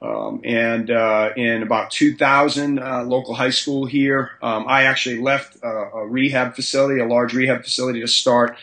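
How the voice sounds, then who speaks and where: Bright-voiced man with a mixed Boston-Southern accent. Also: medium pitch, flat delivery, moderate pace, hardly any pauses. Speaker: high school strength coach. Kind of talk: interview guest.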